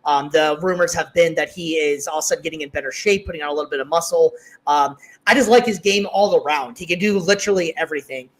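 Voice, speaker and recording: A man's voice: on the high side, quick pace, low-quality recording. A man hosting a casual sports talk show, upbeat and leading the segment with plenty of fillers. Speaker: podcast host.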